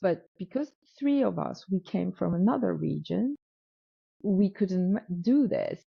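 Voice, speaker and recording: A woman's voice: on the low side, expressive, a little slow, creaky, husky, no echo. A woman with a French accent, a guest telling a story in a casual interview, with frequent restarts and repeated words as she acts out quoted dialogue. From North Africa. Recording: dull lo-fi sound, dry room.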